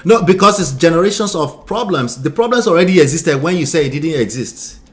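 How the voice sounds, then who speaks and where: A man's voice: a little high, a little fast. A middle-aged man from Nigeria with an accent mixing Nigerian and American tones, a relationship coach chatting casually and laughing often. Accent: Nigerian, mixed with American. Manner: animated, laughs often, repeats words. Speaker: relationship coach and show host. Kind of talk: casual podcast chat.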